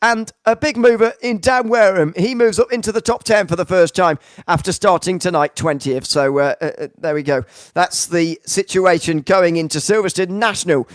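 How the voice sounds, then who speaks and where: A man commentating sim racing in a fairly high, smooth voice that carries clearly. The sound is close and dry, with no room echo. He fills his sentences with "uh" and restarts, and he sounds keen about the racing. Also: normal speed. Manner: rambling, filler-heavy, enthusiastic wrap-up. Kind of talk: broadcast commentary and driver interview.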